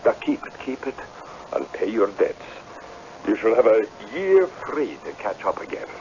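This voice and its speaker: Guarded gentleman landowner, formal and authoritative, slightly low pitch, faint hiss on old radio drama. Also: huge pitch swings, normal speed. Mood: conciliatory. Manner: formal, commanding. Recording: muffled, faintly noisy radio broadcast.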